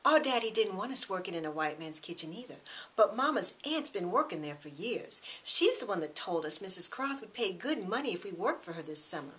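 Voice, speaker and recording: A woman's voice: medium pitch, sing-song, brisk, fairly bright tone, low-quality recording. Woman reading as an earnest, loyal young woman. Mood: earnest, indignant. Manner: earnest, defensive.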